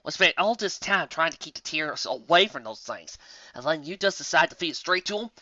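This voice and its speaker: A no-nonsense storybook farm pony, voiced by a woman. She talks bluntly and folksily in a bright, forceful voice. The recording is slightly dull. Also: sits low, lively intonation, a little fast, dry recording, voice pushed up, heavily acted. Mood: blunt, baffled. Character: plain-spoken, no-nonsense young female pony.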